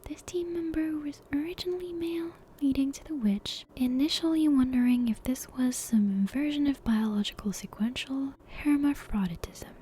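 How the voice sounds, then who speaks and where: A woman whispers a bedtime story in a soft, breathy ASMR style. Her delivery is mellow and unhurried, hushed throughout. Faint ambient noise sits behind her. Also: high-pitched. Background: soft game soundtrack ambience.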